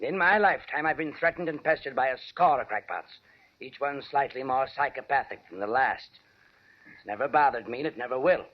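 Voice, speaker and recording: An actor playing a haughty, scornful, rich old uncle, pompous and sarcastic, in a 1940s radio drama. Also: male voice, mid-range voice, brisk, close to an everyday voice. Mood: pompous disdain. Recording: dull, muffled vintage radio recording.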